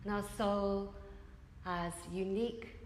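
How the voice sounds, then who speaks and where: Female yoga nidra teacher, medium pitch, slow and gentle guided-meditation delivery in a very reverberant studio. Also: steady tone, slight background noise. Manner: gentle, unhurried, phrase-by-phrase delivery. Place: indoor yoga space during a group evening session.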